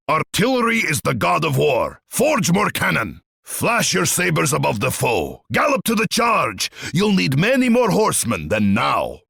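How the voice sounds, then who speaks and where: Casting: bloodthirsty warlord tsar for a strategy game; husky, pompous and sneering, with wildly swinging pitch. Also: male voice, pushed and bright, no room sound, studio quality, exaggerated voice. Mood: fierce battle command. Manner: pompous, menacing, declamatory.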